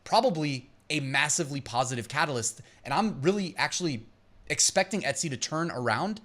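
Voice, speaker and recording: A man's voice: a little high, expressive, brisk, fairly bright tone. A man hosting an advice channel, explaining points in an emphatic, punchy way and mixing read-out lines with his own commentary. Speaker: host of an online seller advice channel. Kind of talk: explainer commentary to camera, part reading out points.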